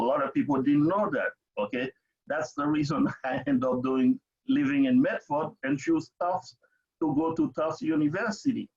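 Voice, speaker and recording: A man's voice: mid-range voice, darkish tone. An older man from Haiti with a Haitian accent, speaking emphatically as an interview guest, repeating himself and checking in as he goes. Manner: emphatic, repetitive, checks with "okay?". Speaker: parent advocate, interview guest. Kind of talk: conversational personal testimony. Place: remote webinar call. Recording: dull lo-fi video call audio.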